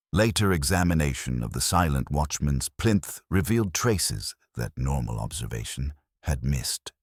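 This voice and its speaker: A man with an extremely low voice narrates a mystery story in formal, literary prose. His measured delivery carries lively rises and falls in pitch. The sound is close and dry, with no sense of a room. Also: no room sound. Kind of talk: scripted narration of a detective mystery.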